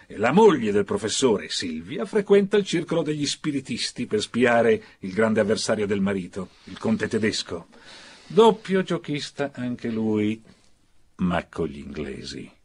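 Sneering, animated Fascist militiaman. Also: on the low side, unhurried, husky, heavily acted. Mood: sneering, confiding. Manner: vehement, sneering, conspiratorial. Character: cynical, defiant Fascist militiaman informer in 1940s Italy. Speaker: radio drama actor. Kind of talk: radio drama acting.

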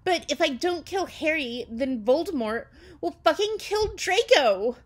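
A woman's voice: sits high, lively intonation, unhurried, voice pushed up. A woman voicing a melodramatic, angsty teenage goth girl, petulant and indignant, in a dramatic reading of amateur fan fiction. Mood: angry, distraught. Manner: overwrought, indignant, emphatic.

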